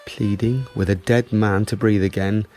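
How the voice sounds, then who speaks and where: An actor playing a sombre, reflective narrator of a war drama, speaking in a solemn, measured way. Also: male voice, lowish voice, fairly even intonation, mellow, soft background music, lightly acted. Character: sombre, reflective narrator of WW1 soldiers' suffering.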